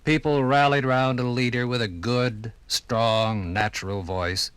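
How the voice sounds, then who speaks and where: A man narrating and explaining as a guide in a scripted 1950s radio program, with deliberate phrasing. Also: medium pitch, steady tone, a bit bright, clean tone, no room sound.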